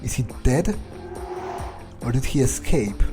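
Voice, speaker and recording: Male let's-player, mid-pitched, blunt and foul-mouthed, role-playing aloud over faint music. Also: lively intonation, measured pace. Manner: sweary, blunt, informal. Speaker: gamer playing in character. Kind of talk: in-character video game playthrough, thinking aloud. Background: faint game music.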